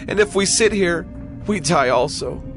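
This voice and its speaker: A man acts out a starving leper in an audio Bible drama. The voice is fairly high, urgent and emphatic, with clear music behind it. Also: expressive, moderate pace. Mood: desperate, urgent. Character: desperate starving leper man reasoning with companions.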